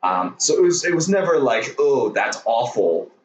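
A man in his twenties from Hong Kong, a podcast guest talking haltingly with many restarts and jokes. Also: medium pitch, big pitch swings, slight background noise. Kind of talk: casual interview chat. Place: home room with a dog. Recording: roomy, distant, dull sound.

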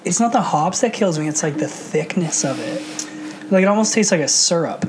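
A man guesting on a casual podcast, relaxed, swearing freely and laughing easily. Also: on the high side, a little hiss or hum. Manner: laid-back, swears freely, quick laughs. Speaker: podcast guest, wrestling commentator.

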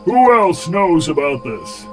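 A man's voice: fairly high pitch, big pitch swings, takes it slowly, soft background music. A man voicing a smug, cruel cult tyrant in an audiobook, speaking in a pompous, commanding and mockingly formal way. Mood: scornful. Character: menacing male cult tyrant, smugly cruel, imperious. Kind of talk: theatrical audiobook villain.

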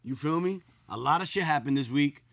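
A man's voice: a little high, brisk, gravelly, no echo. A man with a New York accent in casual podcast banter, slangy and boastful, swearing and repeating himself. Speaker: podcast co-host.

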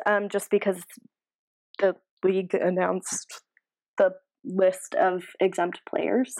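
A woman's voice: mid-range voice, darkish tone, poor audio. A woman co-hosting a podcast in casual sports chat, with a dry, matter-of-fact manner. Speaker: podcast co-host.

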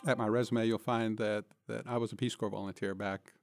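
A man's voice: lowish voice, little pitch movement, brisk, vocal fry, no echo. Older man, reflective interview guest, dry sound. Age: older, nearing retirement. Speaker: interview guest, city manager. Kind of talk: conversational interview answers. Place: recording studio.

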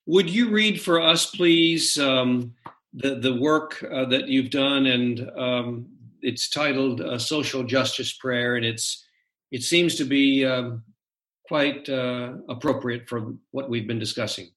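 Interview host, man, halting delivery with lots of pauses, roomy echo. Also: mid-range voice, fairly bright tone. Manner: halting, many uh fillers.

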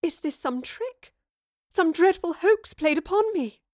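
Woman doing a scared storybook bride, high shaky voice, halting. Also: animated, no echo, studio quality. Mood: frightened. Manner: halting, tremulous. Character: frightened, trembling, bewildered young bride.

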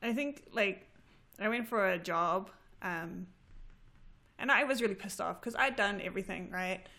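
A woman's voice: mid-range voice, normal speed. A woman in her thirties with a New Zealand accent, chatting casually and telling stories animatedly, often laughing. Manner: animated storytelling with frequent laughter. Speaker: graduate student. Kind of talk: casual conversation.

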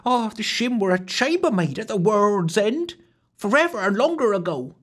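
A man's voice: big pitch swings, moderate pace, fairly bright tone, exaggerated voice. A man doing a fretful rustic barmaid. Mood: fearful. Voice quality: falsetto, rustic dialect. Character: anxious young rustic barmaid woman.

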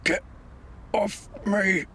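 A man acting a breezy, self-important official in a comedy podcast drama. He talks down to people theatrically, in a fairly high voice with big swoops. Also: sing-song, extremely slow, bright, forceful tone, slight background noise, exaggerated voice. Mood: condescending. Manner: pompous, condescending, theatrical. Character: pompous, breezy male official of an interdimensional company.

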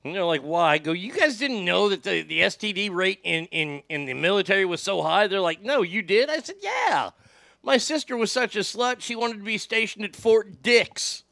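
High-voiced, gravelly, bright radio man. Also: normal speed, no echo, studio quality. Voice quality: high voice without much bass. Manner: profane, animated storytelling with quoted voices. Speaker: radio host. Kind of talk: casual storytelling podcast.

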